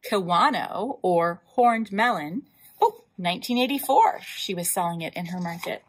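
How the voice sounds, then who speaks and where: A woman hosting a library storytime, reading a picture book aloud in an animated way, with emphatic drawn-out words and asides to young listeners. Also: on the low side. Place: indoors, in front of a wall with floral decals.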